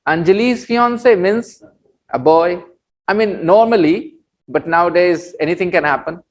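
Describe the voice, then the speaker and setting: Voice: medium pitch, moderate pace. An English teacher with an Indian accent giving a beginners' spoken English lesson, explaining by repeating phrases and checking understanding with short questions.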